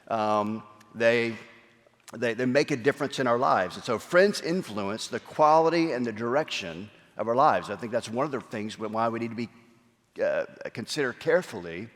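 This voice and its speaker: A man's voice: mid-range voice, a bit bright. A man giving a conversational Sunday sermon as pastor, with many fillers and restarts. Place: church sanctuary. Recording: long hall reverb.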